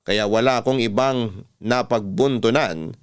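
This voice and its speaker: A man's voice: mid-range voice, measured pace, clear, resonant tone. A man with a Filipino accent, a radio host reading a listener's letter as a first-person scripted story.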